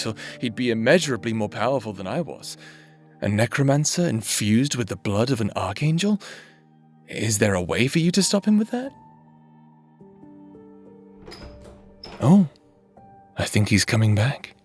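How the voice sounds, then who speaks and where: An actor playing a weary, tender husband in a dramatic audio roleplay, speaking wistfully and murmuring with breathy pauses. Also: male voice, fairly low pitch. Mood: wistful, probing.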